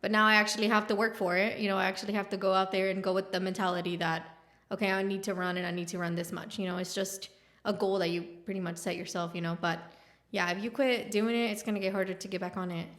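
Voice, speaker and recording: A woman in her late twenties talks quickly as a podcast guest. She is chatty and frank, with animated, candid delivery. Her voice sits at an everyday pitch for a woman. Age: late 20s. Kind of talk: casual chat.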